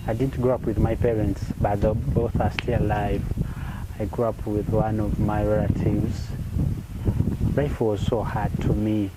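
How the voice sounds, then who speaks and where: A young Ugandan-accented man giving an unscripted personal testimony in an earnest, halting way with simple, repeated phrasing. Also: fairly low pitch, mellow, a little hiss or hum, poor audio. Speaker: interviewee sharing personal faith testimony.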